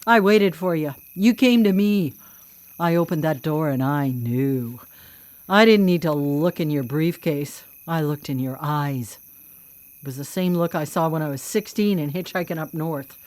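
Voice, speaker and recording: Low-voiced, taunting female vigilante killer. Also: a little slow, a little mellow, no room sound. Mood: angry, righteous, then bitter. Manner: mocking, cocky, coolly menacing. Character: vengeful, taunting female vigilante killer who hunts predators. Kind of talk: live radio drama acting.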